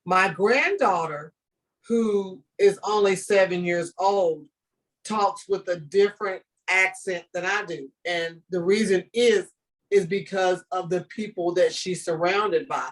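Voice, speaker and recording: An older woman from the southern United States with a Southern accent, a teacher talking to students on a video call in a lively, storytelling and encouraging manner. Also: on the low side, slow pace, far from the mic, low-quality recording. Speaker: ESL teacher guest. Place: home room with bookshelves.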